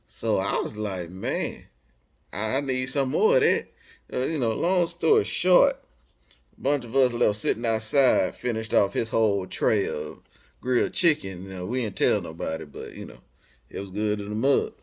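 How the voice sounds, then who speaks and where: An American man telling a personal story casually, in animated, slangy speech, acting out bits of dialogue as he goes. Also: on the low side, moderate pace.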